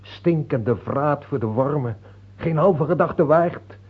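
A devout, unbending priest in a radio play. The voice is rough and husky, solemnly exhorting like a preacher. The recording is narrow and tinny. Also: male voice, fairly high pitch, slow pace. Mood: stern, urgent. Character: stern devout male priest counselling a dying king. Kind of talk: Dutch radio play acting.

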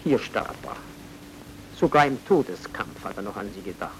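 An actor playing an obliging but shady Viennese baron in a radio drama, with a courteous, theatrical, evasive manner. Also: male voice, on the low side, expressive, normal speed, fairly bright tone, a little hiss or hum, poor audio. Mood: solemn.

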